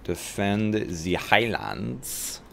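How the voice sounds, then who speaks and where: Animated man chatting on a game livestream. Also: fairly low pitch, drawn-out words, gravelly, slight background noise. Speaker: livestream host. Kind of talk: casual game-stream chat. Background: music.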